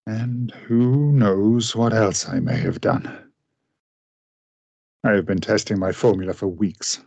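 A man plays a tormented gentleman scientist in a radio drama. He speaks slowly and formally in a husky voice, full of grave remorse, recorded close and dry. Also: on the low side, sing-song, no echo, heavily acted. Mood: anguished. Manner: grave, deliberate, formal diction. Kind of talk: radio play acting.

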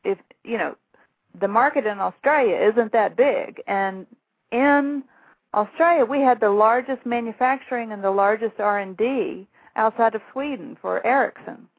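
Gravelly Southern woman on a phone line. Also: a little high, normal speed, dry recording. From Shreveport, Louisiana. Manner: rambling, self-deprecating, laughs while telling stories. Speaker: author and guest. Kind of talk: phone interview, storytelling.

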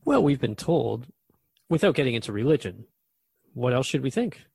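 A man's voice: mid-range voice, sing-song, moderate pace, creaky, darkish tone, husky, dry recording, heavily acted. A man playing an earnest, inquisitive radio host in a scripted talk-show drama, speaking conversationally. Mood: curious. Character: earnest, curious male radio host questioning a spirit guest.

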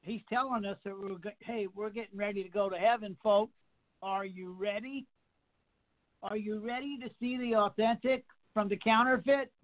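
A man's voice: sits high, fairly even intonation, normal speed. A man in his sixties preaching a radio sermon, stacking up repeated phrases and emphatic rhetorical questions. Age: 60s. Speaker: pastor. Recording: tinny phone line.

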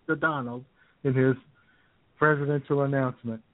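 A man's voice: mid-range voice, fairly even intonation, moderate pace, no echo. A man co-hosting an internet radio show, bantering casually and sarcastically about the news over a thin, phone-like sound. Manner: sarcastic, joking.

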